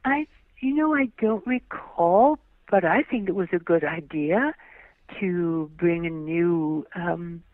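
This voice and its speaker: A woman's voice: fairly low pitch, no room sound. An older woman speaks graciously, stretching her words and affirming with frequent yeses. Her voice comes down a thin, tinny phone line. It sounds dry, with no room around it. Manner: gracious, drawn-out, affirming yes often. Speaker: guest actress. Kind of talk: interview recollection.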